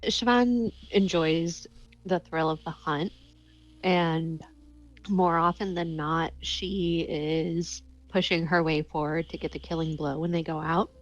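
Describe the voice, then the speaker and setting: Low, slightly muffled female voice. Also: fairly even intonation, slow. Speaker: tabletop role-playing player. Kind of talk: casual improvised character discussion. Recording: slightly dull, limited-band sound. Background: faint music.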